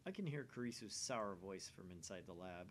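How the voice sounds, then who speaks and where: A man's voice: animated, fairly quick. A man hosting a game channel, reading visual novel narration aloud and chatting casually. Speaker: video game channel host. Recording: faint rumbly background noise.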